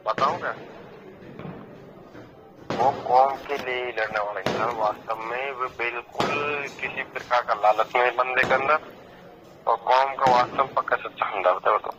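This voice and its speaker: A man's voice: mid-range voice, fairly even intonation, moderate pace, long pauses, background noise. A man on a muffled phone call, persuading and often checking for agreement. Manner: persuasive, appealing, checks agreement with tag questions. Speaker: government employee on a recorded phone call. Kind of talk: casual phone conversation, persuading.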